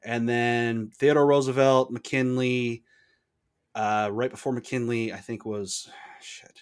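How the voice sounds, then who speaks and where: A man's voice: mid-range voice, little pitch movement, takes it slowly, smooth. A man who hosts trivia, chatting casually and eagerly listing facts, with casual swearing. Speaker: trivia host. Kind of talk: casual chat.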